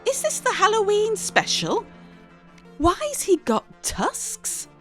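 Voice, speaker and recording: A woman's voice: very high-pitched, wildly swooping pitch, slow, fairly bright tone, faint music behind, pitched above the performer's own voice, heavily acted. A woman voicing a stroppy, defiant, sarcastic young daughter in an audio short story. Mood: incredulous.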